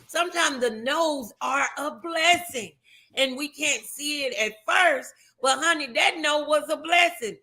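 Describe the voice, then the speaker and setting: A woman's voice: high-pitched, unhurried, bright, forceful tone, poor audio. A woman hosting a motivational talk show, speaking in an encouraging, emphatic, preachy way.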